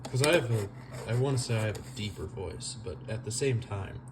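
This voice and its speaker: A man's voice: lowish voice, expressive, fairly quick. Rambling young man in a noisy kitchen. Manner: self-deprecating, rambling, filler-heavy. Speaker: home cooking vlogger. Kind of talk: casual rambling cooking vlog. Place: home kitchen, moving around the stove. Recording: noisy home recording.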